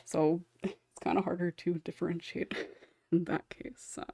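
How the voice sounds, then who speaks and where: A woman's voice: on the low side, little pitch movement, a little slow, gravelly, dark tone, slightly rough, no echo. A woman hosting a casual panel discussion, talking in a chatty, rambling way with frequent small chuckles. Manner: rambling, chatty, often chuckling as she talks. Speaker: podcast host and script writer.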